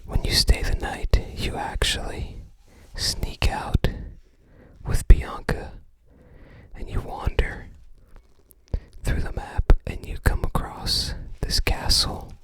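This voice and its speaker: Slow, hushed ASMR whisperer. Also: poor audio. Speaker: ASMR creator. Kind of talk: whispered ASMR, casual book browsing commentary.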